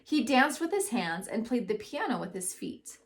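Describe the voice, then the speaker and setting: A woman educator giving an expressive read-aloud storytelling lesson for children, acting out the characters' lines. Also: fairly high pitch, moderate pace. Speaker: school educator reading to children.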